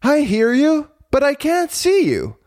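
Man voicing a high, eager puppet boy, Pinocchio. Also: huge pitch swings, moderate pace. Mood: startled. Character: eager, mischievous young wooden puppet boy. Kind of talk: animated children's storybook character reading.

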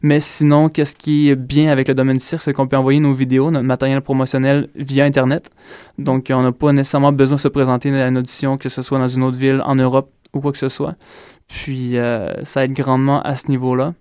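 Young Quebec French man, flat smooth voice. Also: medium pitch, fairly quick, no echo, clean studio sound. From Ontario, raised in Quebec. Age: young adult. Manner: hesitant, many "euh" fillers, earnest. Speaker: circus school graduate, interview guest. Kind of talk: casual interview answers.

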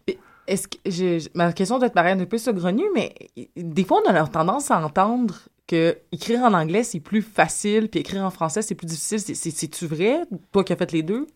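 A woman's voice: fairly low pitch, lively intonation, fairly quick. A woman with a Quebec French accent, interviewing a musician with hesitant, repeated starts and easy laughter. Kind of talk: casual interview questions.

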